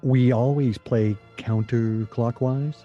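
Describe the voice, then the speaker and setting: Mellow, dry-witted game-night friend. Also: male voice, mid-range voice, steady tone, slow, lo-fi sound, faint music behind. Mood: dry, impatient. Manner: matter-of-fact, dryly blunt. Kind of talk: comedic radio play acting.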